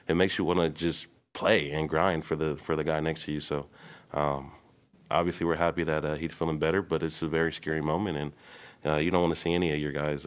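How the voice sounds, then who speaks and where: Young male athlete, very deep voice, laid-back press-room answers, desk mic. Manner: relaxed, many ums and you-knows. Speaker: baseball player. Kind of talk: post-game press conference. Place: press room before a logo backdrop. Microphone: desk mic on a stand.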